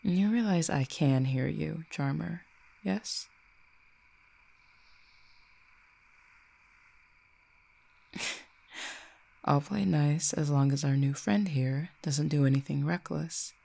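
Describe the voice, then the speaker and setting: A performer voicing an ancient, possessive cupid in an audio roleplay, speaking in a haughty, deliberate, teasingly menacing way. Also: medium pitch, creaky, mellow. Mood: dominant, teasing. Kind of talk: scripted audio roleplay, acted monologue.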